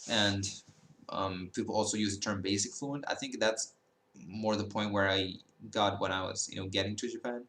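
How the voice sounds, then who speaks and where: Low-voiced guy, early 20s, rambles and corrects himself a lot, roomy echo. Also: normal speed, a bit bright. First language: Dutch. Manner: rambling, many fillers and self-corrections. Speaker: language-learning YouTuber. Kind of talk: casual monologue to camera.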